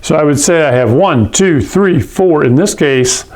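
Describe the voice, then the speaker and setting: Man from Hawaii, classroom lecturer, unhurried delivery, pitched a little high, patient explainer. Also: animated, a little mellow. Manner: patient, checks in with "okay?" and "all right?". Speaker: science teacher.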